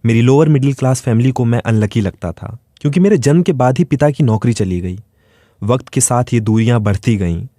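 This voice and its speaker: A man's voice: mid-range voice, lively intonation, a little fast, warm tone, dry recording, clean studio sound. A man reading a scripted first-person dramatic story as its narrator.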